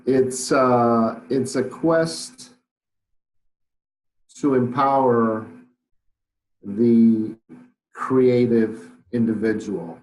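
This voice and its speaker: A man's voice: medium pitch, steady tone, drawn-out words, long pauses, a little mellow, echoey room, low-quality recording. A middle-aged man with a Polish accent, a podcast guest who talks thoughtfully with many fillers and restarts. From Poland. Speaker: guest, business owner. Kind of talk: podcast interview.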